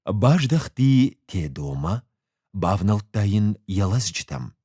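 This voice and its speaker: A man with an Ossetian accent reads a story as an audiobook, measured and expressive in his delivery. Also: fairly low pitch, no room sound, studio quality. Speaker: actor reading a short story. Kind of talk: audiobook narration with acted dialogue.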